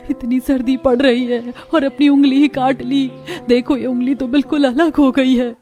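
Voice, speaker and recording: Woman plays poor village wife, earnest, worried, dark soft timbre, moral tale with light music. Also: sits high, little pitch movement, moderate pace, natural voice. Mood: anxious.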